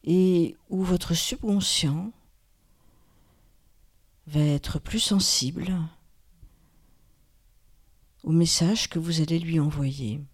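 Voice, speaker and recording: Very slow-paced woman guiding relaxation. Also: low-pitched, breathy edge. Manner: calm, soothing, with long pauses. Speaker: yoga nidra guide, host. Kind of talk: guided relaxation meditation.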